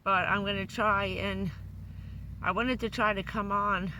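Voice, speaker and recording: A hoarse woman who says she is losing her voice, giving a loose, chatty van-life update full of fillers. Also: mid-range voice, fairly even intonation, unhurried, pushed and bright, a little hiss or hum, rough recording. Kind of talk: casual rambling live update. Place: living in a van.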